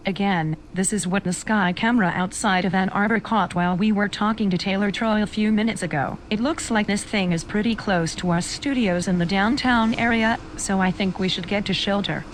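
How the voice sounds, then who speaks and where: Over faint background noise, a concerned news anchor played by a woman presents live breaking coverage. Her pitch is middling and her delivery is earnest. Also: brisk, few pauses, close to an everyday voice, rough recording. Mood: alarmed, urgent. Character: concerned female TV news anchor during disaster.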